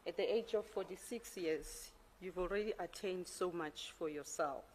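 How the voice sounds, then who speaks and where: A woman on an interview panel, asking formal questions in a deliberate way. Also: on the low side, darkish tone, slight background noise.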